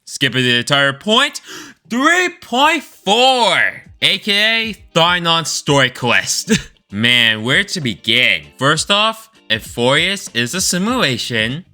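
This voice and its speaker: A man giving informal, enthusiastic opinion commentary as a video creator, expressive and stretching words for emphasis. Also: a little high, takes it slowly. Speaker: video creator ranking game stories. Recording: voice over background music.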